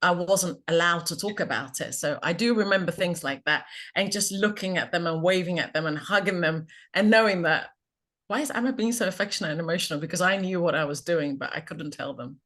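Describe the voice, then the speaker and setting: A woman's voice: on the low side, brisk, fairly bright tone. A middle-aged woman from Ghana, a confidence coach, telling her story earnestly and reflectively in an interview over a remote call.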